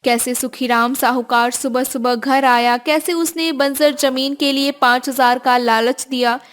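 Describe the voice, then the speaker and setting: High-pitched woman narrating a story. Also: fairly even intonation, unhurried, fairly bright tone. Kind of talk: scripted storybook narration for a cartoon.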